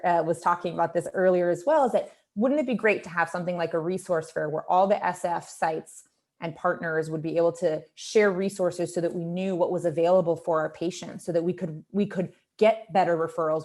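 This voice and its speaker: A woman doctor whose home language is Lithuanian presents on a video call panel, earnest and quick to restart her sentences. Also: lowish voice, darkish tone. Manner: earnest, strings questions together with restarts. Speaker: emergency physician presenting for a hospital team.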